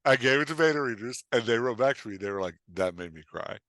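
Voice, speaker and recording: A man's voice: mid-range voice, expressive, fast talker, fairly bright tone, no echo. A middle-aged man speaking as an author and writing coach, explaining and telling stories conversationally with an emphatic, opinionated manner. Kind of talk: animated conversational explanation and storytelling.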